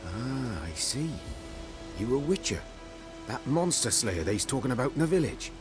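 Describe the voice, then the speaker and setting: A man's voice: medium pitch, very animated, moderate pace, over music, natural voice. An actor playing a wary, plain-spoken village huntsman in a video game, with a folksy, brooding manner. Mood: wary, obliging.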